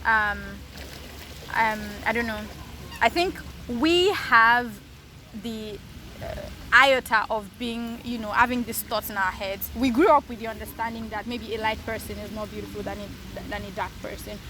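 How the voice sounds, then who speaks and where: A woman co-hosting a podcast, speaking in an earnest, reflective way and often affirming her partner with quiet fillers. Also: a little high, moderate pace, slight background noise. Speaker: podcast co-host. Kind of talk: casual podcast discussion.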